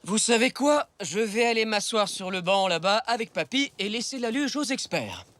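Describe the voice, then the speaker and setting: A man's voice: high-pitched, very animated, moderate pace, a bit bright. A man voicing a cheerful, good-natured adult zebra dad in a French preschool cartoon, upbeat and encouraging. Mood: reassuring.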